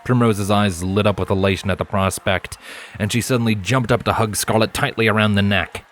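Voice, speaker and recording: A man with a low-pitched voice narrates a story in audiobook style. Soft music plays behind his scripted reading. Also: fairly even intonation, fairly quick. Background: background music.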